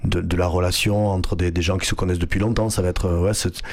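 A man's voice: deep voice, level tone, fast, darkish tone. A young man who grew up with Flemish as his first language, answering interview questions with many fillers and restarts. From France (Hérault, Occitanie). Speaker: artistic director, guest. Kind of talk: radio interview.

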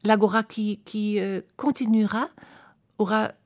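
A woman's voice: mid-range voice, steady tone, measured pace, darkish tone. A woman from a theatre company answering interview questions hesitantly, searching for words.

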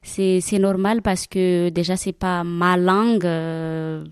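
A woman's voice: lowish voice, fairly even intonation, slow pace, a little mellow, clear, resonant tone, no room sound, studio quality. A woman from Madagascar whose first language is Malagasy, a religious sister answering interview questions in a hesitant way with many fillers.

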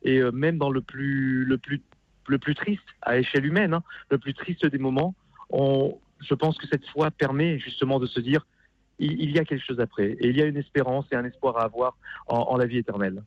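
A man's voice: medium pitch, steady tone, dry recording. A man speaks over a slightly dull phone connection with no room sound. His mid-range voice gives an earnest testimony, often doubling words as he looks for the next one. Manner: halting, repeats words as he searches. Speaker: phone-in caller, funeral worker. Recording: dull band-limited phone call.

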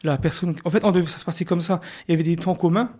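Man, 30s, from Moselle, quick pace, dark mellow tone, hesitant. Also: on the high side, fairly even intonation. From Moselle, France. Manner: hesitant, many fillers and restarts. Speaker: radio guest. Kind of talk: radio interview, telling his life story.

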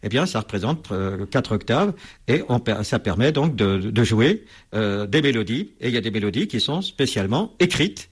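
A man's voice: mid-range voice, sing-song, moderate pace, husky. A man being interviewed as a bell expert, explaining at length with many hesitations and restarts.

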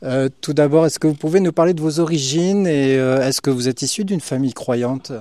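A man hosting a radio interview, asking questions gently with fillers. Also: fairly high pitch, brisk, no room sound.

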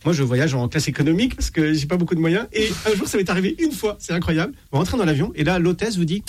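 A man, a priest, talking as a guest on a French talk show, animated and full of restarts and anecdotes. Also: sits high, fast, breathy edge, no room sound. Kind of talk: casual talk show conversation.

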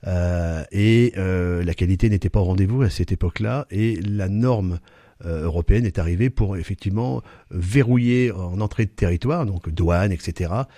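A man's voice: low-pitched, moderate pace, mellow, no echo, clean studio sound. A man answering interview questions as a company executive, hesitant, with many fillers and restarts.

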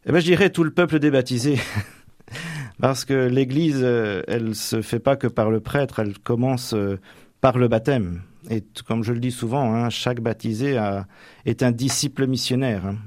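A young man from Normandy, a deacon about to become a priest, answering radio interview questions with many pauses and restarts. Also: mid-range voice, a little mellow, no echo, studio quality. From Normandy, France. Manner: hesitant, many fillers and restarts. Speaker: deacon soon ordained priest.

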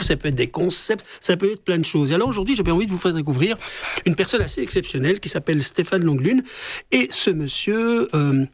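A man's voice: a little high, no room sound. A man hosting a food radio show, chatty and teasing as he leads the interview. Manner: chatty, teasing, leading questions. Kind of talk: radio interview, casual chat.

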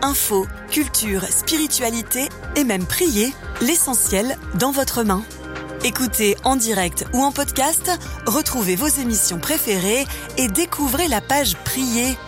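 A woman's voice: on the high side, animated, measured pace. A woman reading a scripted radio advertisement in an upbeat promotional way. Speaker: ad voice-over. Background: music.

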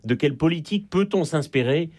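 A man with a Belgian French accent, an author guest on a radio talk show, explaining in a measured way. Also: a little high, lively intonation, a little mellow. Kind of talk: radio interview.

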